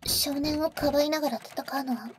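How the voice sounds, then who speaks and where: A woman playing a teasing, protective vampire big sister in an ASMR roleplay, speaking in an intimate, soft whisper close to the mic with cool, boyish phrasing. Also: high voice, a little fast, background noise, natural voice. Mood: urgent. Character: teasing, protective female vampire, older-sister type to a boy. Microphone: close microphone, dry.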